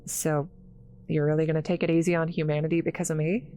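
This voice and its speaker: A woman playing an awkward, kind scientist in a roleplay audio drama, halting and apologetic, stammering as she talks to the listener. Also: sits low, level tone, warm tone, slight background noise, lightly acted. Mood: surprised, grateful.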